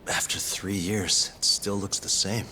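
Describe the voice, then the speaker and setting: World-weary detective; very low, forceful tone, few words. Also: male voice, little pitch movement, unhurried, a little hiss or hum. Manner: terse, laid-back, understated. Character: cool, terse male detective, world-weary. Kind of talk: game cutscene voice acting.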